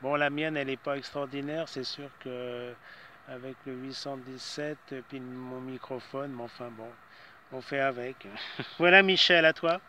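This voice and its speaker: A man's voice: medium pitch, steady tone, unhurried. A man chatting casually as an amateur radio operator, in hesitant phrases that often trail off, with some background noise.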